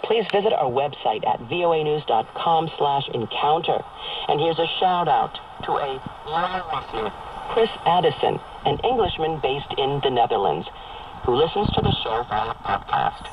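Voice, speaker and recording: Animated male radio announcer, distant and noisy. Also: fairly high pitch, moderate pace, muffled recording.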